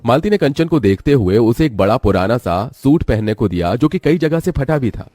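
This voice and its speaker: A man's voice: medium pitch, lively intonation, moderate pace, dark tone, dry recording. A man narrating a scripted story as its storyteller.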